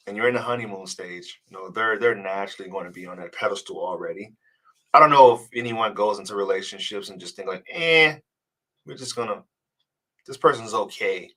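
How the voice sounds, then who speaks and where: A man with a somewhat low voice gives relationship advice in a loose, conversational live-stream manner. He sounds congested from a cold and often stumbles and repeats words as he works toward his point. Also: bright, forceful tone, rough recording. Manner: casual, earnest, repeats words when restarting. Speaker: dating coach. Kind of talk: live-stream advice chat reading comments.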